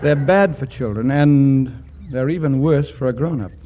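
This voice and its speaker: A man's voice: mid-range voice, moderate pace, close to an everyday voice. An actor playing a well-meaning army major uncle in a 1940s radio comedy, hesitant and awkward in his speech. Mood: flustered, awkward. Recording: dull old radio broadcast, faint noise.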